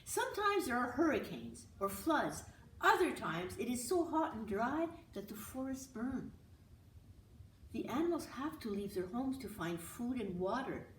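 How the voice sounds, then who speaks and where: A woman reads the part of an earnest big brother in a picture book. The boy leads and explains with wide swings of pitch, in a roomy, slightly distant recording. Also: big pitch swings, measured pace. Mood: earnest explaining. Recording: roomy, distant mic with hiss.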